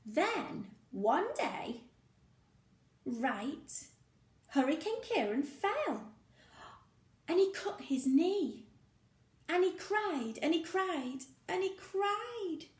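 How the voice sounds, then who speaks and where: A woman teacher reading a picture story aloud to young children, playful and expressive, with sound effects and questions for the listener. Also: extremely high pitch, very slow, long pauses, roomy sound, slight background noise.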